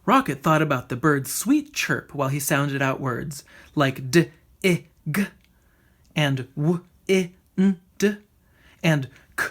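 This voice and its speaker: A woman reading a children's storybook aloud in an expressive narrating style. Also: sits low, slow pace. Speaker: storybook reader.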